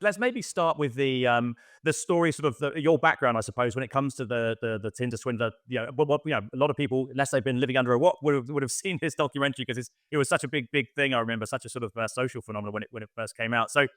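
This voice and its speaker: A man hosting an interview podcast, talking quickly with many uhs and restarts. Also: medium pitch, fairly bright tone, no room sound, clean studio sound. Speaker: podcast host.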